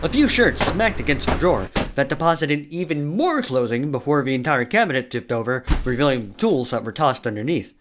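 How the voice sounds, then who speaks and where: A woman's voice: a bass voice, big pitch swings, fairly quick, few pauses, slight background noise. A woman reading scripted narration for an audio play in a descriptive storytelling manner.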